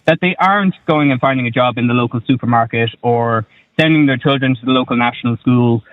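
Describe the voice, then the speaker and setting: A man's voice: medium pitch, fast talker, dry recording, faint music behind. Man, radio caller, mid-range voice, argumentative, rhetorical questions, tinny phone line. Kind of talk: phone-in debate. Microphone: telephone.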